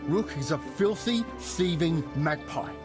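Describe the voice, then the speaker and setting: An aggrieved, high-voiced villager father. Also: lively intonation, unhurried, music in the background. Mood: indignant. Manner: indignant, grumbling, colourful insults. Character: aggrieved medieval villager father, indignant, blunt. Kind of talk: video game cutscene acting.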